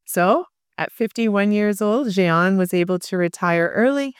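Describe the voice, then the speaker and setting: A woman's voice: mid-range voice, animated, unhurried, smooth, dry recording, clean studio sound. A woman in her forties from Canada, co-hosting a podcast and leading the conversation in a friendly, casual way. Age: 40s. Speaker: podcast co-host. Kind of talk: casual chat.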